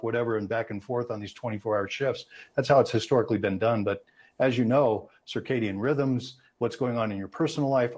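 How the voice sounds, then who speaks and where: A man in his sixties with an American accent comments as a safety analyst on the news. His voice is mid-pitched and rough-edged, husky rather than smooth. He speaks over a video call from an indoor room. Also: darkish tone. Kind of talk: expert commentary in a news interview.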